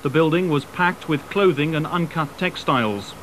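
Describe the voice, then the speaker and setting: A man's voice: on the high side, moderate pace, fairly bright tone. A man reporting the news in a scripted, matter-of-fact voice-over. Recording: old TV news with background noise.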